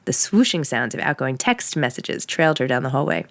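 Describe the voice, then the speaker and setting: An American woman with a low voice speaks as an author sharing her own work. The recording is very dry, and her delivery is that of casual talk and personal narration. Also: very animated, fairly quick, vocal fry, dry recording. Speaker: author and podcast host. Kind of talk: casual announcements and first-person novel reading.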